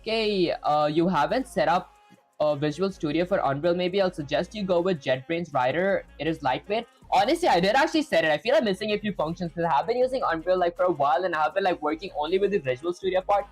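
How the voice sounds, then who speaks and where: A woman streaming herself programming, chatting casually and thinking out loud with lots of "like" fillers. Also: lowish voice, animated, fast, faint music behind. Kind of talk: casual live coding stream.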